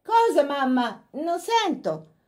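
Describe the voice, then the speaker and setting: Lady putting on a bubbly high-pitched young girl, all breathless gushing, storybook reading. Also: normal speed. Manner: breathless, excited chatter. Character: eager, gushing young upper-class girl.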